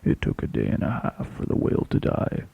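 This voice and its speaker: A man narrating a dramatic first-person sea story as a shipwrecked fishing captain, intense, theatrical and deliberate, with a gravelly, husky voice. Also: warm tone, highs cut off, slight background noise. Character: shipwrecked fishing captain telling his tale.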